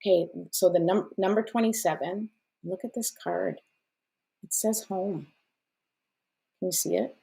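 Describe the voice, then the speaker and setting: A woman's voice: lowish voice, a little slow, vocal fry, pauses often, warm tone. A woman whose first language was Portuguese, hosting a live stream and reading oracle cards in an effusive, chatty way with many fillers and small laughs. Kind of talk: casual live-stream chat.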